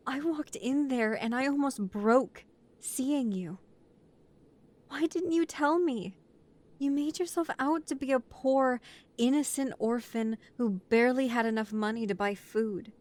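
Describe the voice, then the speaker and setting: A woman's voice: sits high, a little slow, a little mellow, pitched above the performer's own voice. A woman playing an earnest, devoted new mafia recruit and loving partner in a romantic audio roleplay. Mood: hurt, upset. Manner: earnest, emotional, polite to superiors.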